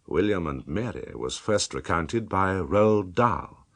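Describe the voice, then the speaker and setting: A British man reading scripted closing credits as a radio announcer, formal and deliberate in delivery. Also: sits low, lively intonation.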